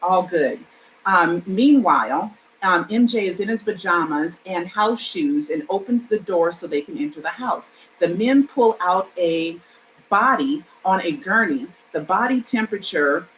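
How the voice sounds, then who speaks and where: A woman reading her own screenplay aloud, pausing to explain and correct herself in an informal way. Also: fairly low pitch, animated, a bit bright, slight background noise, poor audio. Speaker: screenplay reader.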